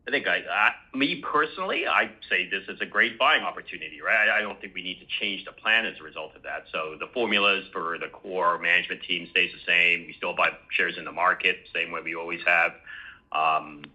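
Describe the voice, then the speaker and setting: A man speaking as an executive on an earnings call, unscripted, with halting, repeated words. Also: low-pitched, pushed and bright, poor audio. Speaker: company executive answering questions.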